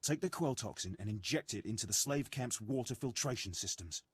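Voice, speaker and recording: Imperial sergeant, game dialogue, husky, very dry close sound, formal, self-important, resentful. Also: male voice, medium pitch, animated, fairly quick, no room sound. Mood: bitter, resigned. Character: ambitious, resentful, impatient male military sergeant of an evil empire. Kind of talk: video game voice acting.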